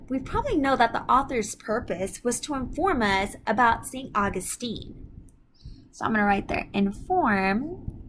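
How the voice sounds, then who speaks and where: A woman's voice: fairly high pitch, fairly bright tone, a little hiss or hum, rough recording. A woman teacher leading a read-aloud reading lesson for children, explaining things step by step in an instructive way. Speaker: elementary school teacher.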